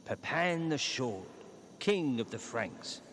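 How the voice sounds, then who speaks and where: A man voicing a dead grandfather who speaks through a pet mouse, coaxing in a measured, grandiose way. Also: medium pitch, very animated, a bit bright, slight background noise. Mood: insistent, grandiose.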